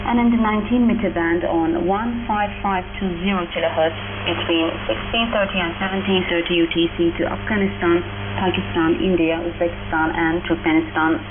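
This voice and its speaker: A woman announcer reading a scripted broadcast announcement in a formal, methodical manner. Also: lowish voice. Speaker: radio station announcer. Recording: noisy, tinny shortwave radio reception.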